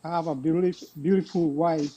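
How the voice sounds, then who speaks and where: Middle-aged Kenyan man, high mellow voice. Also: steady tone, moderate pace, muffled recording. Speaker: guest caller. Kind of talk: casual personal talk.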